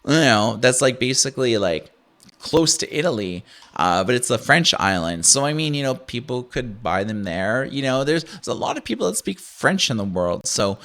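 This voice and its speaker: A man with a Canadian accent hosting a casual, unscripted tech show, talking in a slangy, halting, rambling way. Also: medium pitch, very animated, a bit bright. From Ontario, Canada. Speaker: podcast host and product reviewer.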